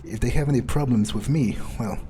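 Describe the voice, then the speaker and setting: A man's voice: medium pitch, fairly quick, mellow, a little hiss or hum, close to an everyday voice. A man playing a cynical, callous young vampire in an in-character game playthrough, musing aloud in a hesitant, dismissive way. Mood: cold, callous. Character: callous, cynical young male vampire, foul-mouthed loner.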